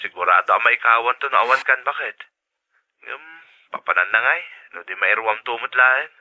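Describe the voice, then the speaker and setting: A man's voice: mid-range voice, a little fast, phone-quality sound, natural voice. A man playing a devoted, plain-spoken husband and father in a radio drama, with a Filipino accent. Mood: reassuring.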